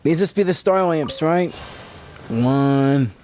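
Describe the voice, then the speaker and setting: A woman's voice: deep voice, takes it slowly, a little mellow, slight background noise. A woman voicing an earnest, easygoing young traveler in a video game, speaking in brief, casual phrases. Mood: focused, counting.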